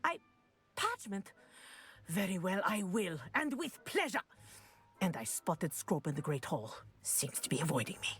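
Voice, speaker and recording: An actress playing an indignant, persistent flying teacher in a video game, speaking insistently and emphatically. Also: female voice, fairly low pitch, big pitch swings, a little slow, a bit bright, husky, faint music behind, full character voice.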